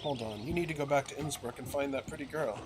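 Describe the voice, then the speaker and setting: Courteous artist hero for a game, read by a man: sincere, measured, mid-range voice, noticeable background ambience. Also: mid-range voice, background noise, close to an everyday voice. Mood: earnest. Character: courteous, sincere male traveling artist giving advice. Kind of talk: game dialogue read aloud in character.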